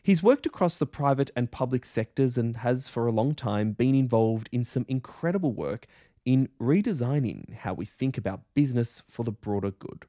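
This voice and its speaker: A man hosts an interview podcast with a soft-edged, mellow voice. His pitch is lively and varied, and his phrasing is deliberate and articulate. The sound is close and dry. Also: no room sound. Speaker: podcast host. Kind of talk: scripted introductions and interview questions.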